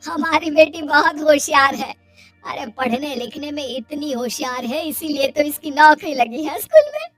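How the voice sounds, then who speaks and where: A woman's voice: high voice, expressive, normal speed, soft background music. A woman playing a nagging, scolding middle-aged mother in a cartoon family drama. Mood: boastful, scolding. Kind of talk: Hindi cartoon dialogue.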